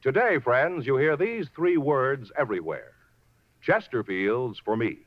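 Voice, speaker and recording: Animated male 1950s radio announcer. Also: medium pitch. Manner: emphatic, measured, formal. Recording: dull 1950s radio recording.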